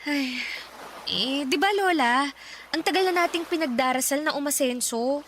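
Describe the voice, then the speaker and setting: A woman's voice: high voice, moderate pace, fairly bright tone, a little hiss or hum. A woman playing an earnest, determined young granddaughter in a Tagalog radio drama, with an emotional, pleading delivery.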